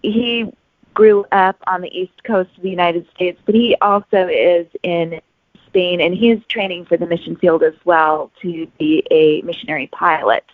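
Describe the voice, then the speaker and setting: Woman interview guest, slightly low pitch, explanatory delivery, narrow telephone sound. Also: fairly even intonation, normal speed, dry recording. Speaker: author guest. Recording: narrow phone-like sound, very dry.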